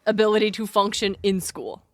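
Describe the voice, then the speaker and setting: A woman's voice: mid-range voice, brisk, dry recording. Woman podcaster with a close, dry sound. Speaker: podcast host. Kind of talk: casual chatty podcast banter and retelling.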